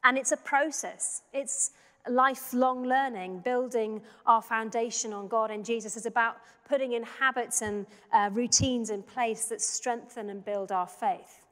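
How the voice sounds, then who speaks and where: A woman in her thirties preaches a sermon, reflective and conversational, with small restarts as she thinks aloud. Her voice sits fairly high. The sound carries a long reverb from the church sanctuary. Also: steady tone, measured pace, fairly bright tone. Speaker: pastor.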